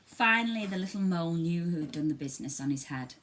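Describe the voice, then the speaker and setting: A woman narrates a children's storybook with a fairly low voice and very lively intonation. She tells it dramatically, with faint noise on the recording. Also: fast. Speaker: storybook reader.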